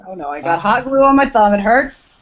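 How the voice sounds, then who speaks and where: A woman's voice: mid-range voice, lively intonation, a little fast, music in the background. A woman co-hosting a podcast in informal, self-deprecating casual chat. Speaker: podcast co-host. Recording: muffled lo-fi podcast recording.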